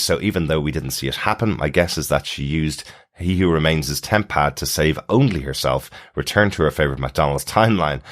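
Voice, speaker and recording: A man hosting a podcast, chatting casually and explaining his take on TV and listener feedback. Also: deep voice. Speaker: podcast host. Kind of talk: casual TV review chat, reading listener feedback.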